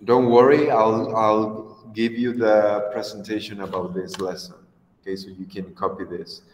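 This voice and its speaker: A man's voice: on the low side, little pitch movement, measured pace. Mexican-accented man in his 30s, patient English teacher on a video call, echoey room with long reverb. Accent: Mexican Spanish. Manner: patient, encouraging, switches to Spanish to explain. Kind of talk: online English class.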